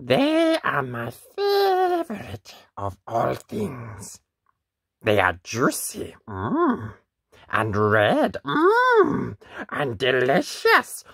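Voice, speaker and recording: Lady doing a grumpy little cartoon bat for a kids book, super slow and dramatic, swoops all over, dry close sound. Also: rumbling low, huge pitch swings, creaky, a bit bright, breathy edge, no room sound, heavily acted. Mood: delighted, savouring. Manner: theatrical, drawn-out, emphatic. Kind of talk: children's picture-book read-aloud.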